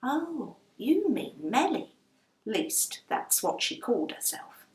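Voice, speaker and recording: A woman's voice: on the high side, big pitch swings, slow, reverberant, voice pushed up. A woman voicing a chatty, blunt working-class London landlady in a children's storybook. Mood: blunt, indignant. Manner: chatty, blunt, colloquial non-standard grammar.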